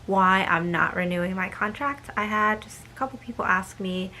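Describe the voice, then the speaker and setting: A young woman talks straight to her viewers in a relaxed vlog. Her voice sits at a middling pitch but sounds bright and crisp. She rambles a little, filling pauses with ums and likes. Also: a little hiss or hum. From United States. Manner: chatty, with frequent ums and likes. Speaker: vlogger. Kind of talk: casual vlog monologue.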